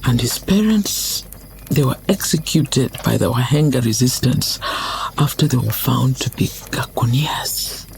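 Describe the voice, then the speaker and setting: A woman playing a wise elderly village elder and guardian who speaks slowly and solemnly. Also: a bass voice, animated, gravelly, darkish tone, a little hiss or hum, exaggerated voice, rough recording. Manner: slow, solemn.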